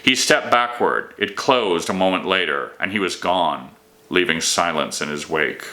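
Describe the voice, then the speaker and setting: A man's voice: sits low, animated, unhurried, pushed and bright. A man, an author, reading his fantasy novel aloud as dramatic scripted narration.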